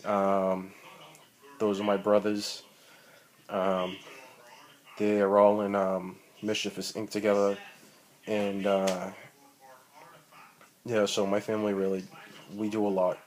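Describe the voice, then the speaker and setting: Guy in his thirties, low and monotone, rambles with lots of ums and swearing, recorded on a tablet. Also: takes it slowly, long pauses, rough recording. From Boston, Massachusetts. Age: 30s. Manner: rambling, profane, full of ums and restarts. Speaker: amateur wrestler. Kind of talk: rambling self-recorded vlog. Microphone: tablet built-in mic.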